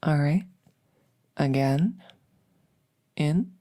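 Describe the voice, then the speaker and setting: A woman playing a gentle, reassuring veterinarian in a soft-spoken ASMR roleplay, hesitant and soothing as she explains. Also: sits low, expressive, very slow, heavily acted. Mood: gentle, coaxing. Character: gentle, reassuring female veterinarian examining a werewolf patient. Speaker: audio roleplay performer.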